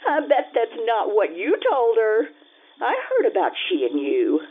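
A woman's voice: fairly high pitch, big pitch swings, slow, slightly rough, exaggerated voice. A woman playing a brash, sassy young dancer with slangy delivery in old-time radio drama, through a vintage radio filter. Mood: sassy, vain. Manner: brassy, slangy. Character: brash young Roman dancing girl, sassy and vain. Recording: tinny vintage radio sound.

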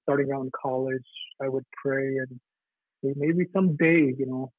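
Husky man on a tinny phone line. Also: mid-range voice, steady tone, no room sound. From Navajo Nation. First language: Navajo. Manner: halting, with many fillers and restarts. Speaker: guest. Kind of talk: reflective casual interview talk.

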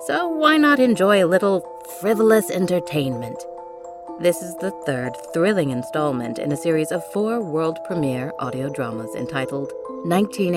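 A woman presenting an audio drama with theatrical, brooding narration and drawn-out phrasing. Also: deep voice. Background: mallet percussion music.

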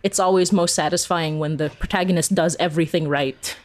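A woman's voice: fairly low pitch, fairly even intonation, brisk. A woman being interviewed as a podcast creator, chatting informally in a rambling, giggly way with lots of filler "like". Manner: rambling, informal, heavy use of "like"; giggly, laughs often while talking. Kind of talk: casual, chatty interview talk.

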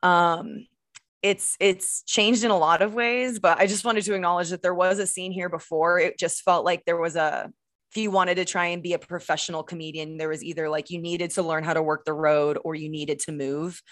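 A woman's voice: fairly low pitch, fairly even intonation, normal speed, fairly bright tone, dry recording, clean studio sound. A woman from Texas, a guest comedian in casual podcast chat, talking chattily and laughing often.

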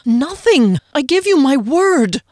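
A man's voice: very high voice, big pitch swings, takes it slowly, a little mellow, no echo, voice pushed up, heavily acted. A woman voicing a defensive man who emphatically protests his innocence.

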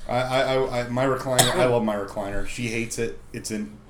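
A man with a slightly congested voice, chatting casually as a podcast co-host. He trips over his words and restarts often. The room sounds echoey, with clear noise in the background. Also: medium pitch, fairly even intonation, fairly quick. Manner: stammering restarts. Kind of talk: casual chat.

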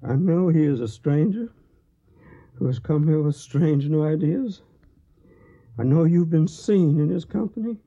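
Grave ailing older father, husky, formal, radio drama, dull lo-fi recording. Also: on the high side, unhurried, long pauses, mellow. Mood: stern, probing. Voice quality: husky, gravelly, weakened by illness. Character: ailing, stern Tennessee father in 1861.

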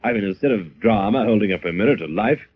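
A polite, skeptical gentleman detective in an old radio play. His husky voice is fairly low and moves expressively. The recording is dull. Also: lively intonation, fast. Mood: urbane, skeptical. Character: urbane gentleman amateur sleuth, polite, skeptical. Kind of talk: old-time radio drama acting.

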